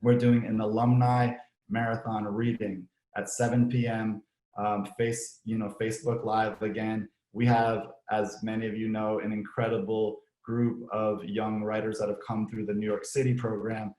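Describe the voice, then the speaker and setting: A man hosting an organization's virtual ceremony, giving closing thanks in an unscripted, relaxed way with many ums and restarts, his voice slightly hoarse. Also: fairly low pitch, normal speed. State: slightly hoarse, clearing throat. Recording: echoey room.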